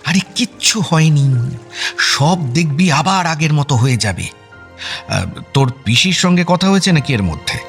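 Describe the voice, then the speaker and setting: A man acting a blustery but affectionate uncle in a radio drama, husky and colloquial. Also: on the high side, lively intonation, normal speed, soft background music. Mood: teasing, affectionate. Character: blustery, affectionate family uncle, teasing and exasperated.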